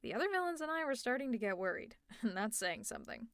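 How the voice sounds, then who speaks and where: Sarcastic, high-voiced supervillainess, acted by a woman. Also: sing-song, fast talker, clear, resonant tone, dry recording, clean studio sound. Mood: teasing, concerned. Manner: sarcastic, teasing, conversational. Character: teasing, exasperated, secretly caring female supervillain. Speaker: audio roleplay performer. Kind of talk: ASMR roleplay acting.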